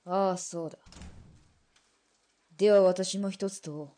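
An actress playing a cool, defiant military weapons engineer in a radio drama, with blunt, clipped, mannish phrasing. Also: female voice, mid-range voice, fairly even intonation, measured pace, mellow, lightly acted. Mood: cool, challenging.

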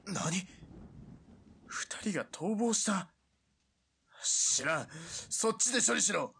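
A gravelly, bright-edged army officer, played by a man snaps out curt orders in a slow, swinging voice. He sounds gruff and short on patience. His lines sit a little high for a man in a radio play. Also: expressive, full character voice. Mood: irritated.

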